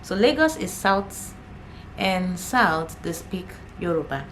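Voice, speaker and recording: Chatty Nigerian woman, lowish voice. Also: sing-song, takes it slowly, a bit bright, slight background noise, low-quality recording. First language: Igbo. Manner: chatty, laughs often, repeats herself. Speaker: vlogger. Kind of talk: casual chat to camera.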